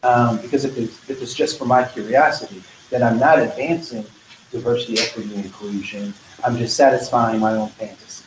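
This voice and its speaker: A man's voice: medium pitch, steady tone, fairly quick. A man leading a diversity training workshop, talking casually and wandering off on tangents, often checking in with "right?". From United States. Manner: casual, digressive, frequent "right?" and "um". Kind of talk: conversational workshop lecture. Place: training room. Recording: echoey training room, faint noise.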